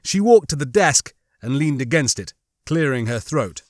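A man narrating a suspense novel as an audiobook, with measured, dramatic storytelling. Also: medium pitch, expressive, no echo, clean studio sound. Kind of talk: scripted dramatic fiction narration.

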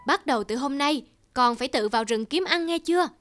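A woman voicing a gentle mother lioness in an animated fable, motherly and instructive. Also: high voice, a little fast, faint music behind. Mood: gentle instructing.

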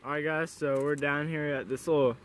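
A man's voice: fairly high pitch, fairly even intonation, fairly quick. Young man vlogging, noisy handheld recording. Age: young adult. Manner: slangy, swearing often. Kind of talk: casual handheld camping vlog. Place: outdoors on a windy mountain, partly inside a tent. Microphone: handheld camera at arm's length. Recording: noisy wind-blown outdoor camera audio.

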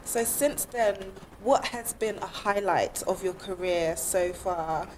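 A woman's voice: medium pitch, slow pace, poor audio. A woman host with a mid-range voice leads a studio interview, encouraging her guest and murmuring agreement. A faint background noise sits under her voice. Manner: encouraging, frequent affirming murmurs. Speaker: talk show host. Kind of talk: interview hosting. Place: studio set, seated.

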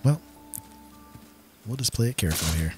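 A man's voice: medium pitch, animated, normal speed, over loud music. A man giving casual, easygoing commentary on his own gameplay, talking to himself as he plays.

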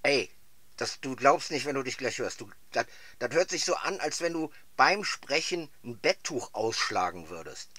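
Bright, forceful man chatting on radio. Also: mid-range voice, sing-song, normal speed, no echo. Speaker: radio amateur. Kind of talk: casual two-way radio chat.

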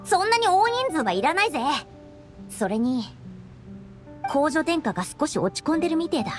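A woman voicing a brash, tough young woman in a game, talking in a rough, blunt, boyish way. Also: high-pitched, sing-song, moderate pace, faint music behind. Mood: bossy. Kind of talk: game voice acting.

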